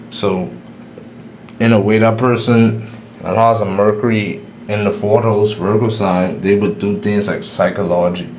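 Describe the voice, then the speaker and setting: A man's voice: lowish voice, a little mellow, a little hiss or hum. A man with a Bahamian accent, giving his own views in a solo video monologue, explaining with some restarts. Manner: opinionated, explanatory, a few restarts. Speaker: astrology YouTuber. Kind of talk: solo opinion monologue to camera.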